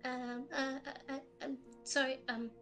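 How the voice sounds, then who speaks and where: A woman's voice: high voice, flat delivery, normal speed, bright, forceful tone, soft background music. A woman voicing a timid young boy demigod, an anxious little brother with a small voice and a hesitant stammer, in an audio drama. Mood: nervous, apologetic.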